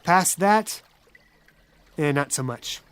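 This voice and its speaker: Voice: medium pitch, expressive, slow pace, fairly bright tone, clean tone, no echo. A game master narrating a tabletop role-playing game with descriptive, folksy phrasing.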